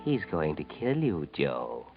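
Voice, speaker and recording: An American actor playing a sardonic, ominous, all-knowing narrator who taunts characters in a 1940s radio mystery. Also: male voice, a bass voice, wildly swooping pitch, takes it slowly, creaky, heavily acted. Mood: ominous taunting. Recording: dull vintage 1940s radio recording. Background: dramatic music.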